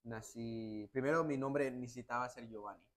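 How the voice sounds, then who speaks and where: Halting young man, restarts, English slipped in. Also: mid-range voice, fairly even intonation, fairly bright tone. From United States. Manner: halting, many restarts and "me entiendes" tags, mixes in English words. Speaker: national team footballer, interview guest. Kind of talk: casual interview answers. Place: hotel lounge sofa, indoors.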